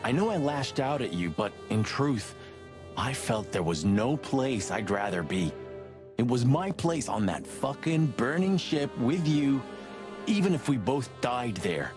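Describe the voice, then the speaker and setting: Wisecracking noir rooster cop, dry, mid-pitched, music behind him. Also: male voice, sing-song, a little slow. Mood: confessional. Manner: sardonic, dry, talkative. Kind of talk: film-noir game voice acting.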